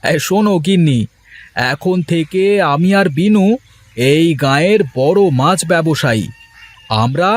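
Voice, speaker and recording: An actor voicing a poor village fisherman in a cartoon, cheerful and boastful, with a husky voice. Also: on the high side, lively intonation, measured pace, long pauses. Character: poor village fisherman turned eager trader. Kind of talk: Bengali cartoon voice acting.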